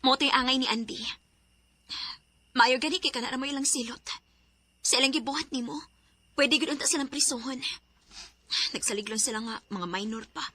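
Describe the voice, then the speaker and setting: A woman plays an earnest, outspoken schoolgirl in a Filipino radio drama. The voice is high and bright with a husky edge, and the accent is Cebuano. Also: fairly quick, long pauses. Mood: relieved, indignant. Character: Winky, earnest, frank high school girl student.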